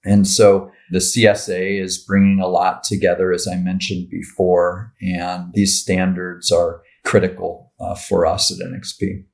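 A man answering podcast questions as a marketing director, in a measured corporate way that lists technical terms. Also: low voice, flat delivery, vocal fry.

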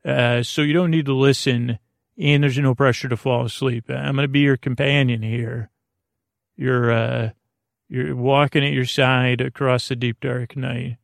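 A man hosting a bedtime podcast in a creaky voice, meandering and halting as he loses his thread and circles back. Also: medium pitch, fairly even intonation, dry recording, clean studio sound. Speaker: podcast host. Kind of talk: rambling bedtime podcast monologue.

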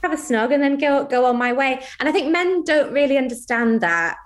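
A young British woman co-hosting a podcast, chatting casually in a candid, expressive way. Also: high voice, a little fast. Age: young adult. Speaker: podcast co-host. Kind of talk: casual conversational chat. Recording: clearly noisy background.

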